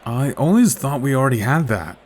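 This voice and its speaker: A man's voice: mid-range voice, very animated, brisk, darkish tone. A man acting a friendly, stubborn young ninja in an anime-style audio drama, with a casual, questioning, conversational delivery. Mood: earnest, pondering. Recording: music behind the voice.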